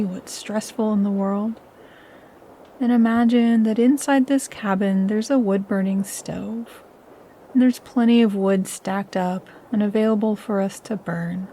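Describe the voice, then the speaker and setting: A woman leading a scripted guided meditation in a soothing, measured, instructional manner. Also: medium pitch, dark tone, slight background noise.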